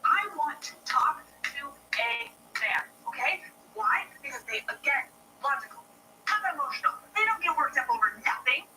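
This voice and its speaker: Pushy customer demanding a manager type, high and insistent, voiced by a woman; distant mic, background noise. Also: big pitch swings, normal speed, sharp tone, heavily acted. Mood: indignant. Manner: insistent, raising her voice.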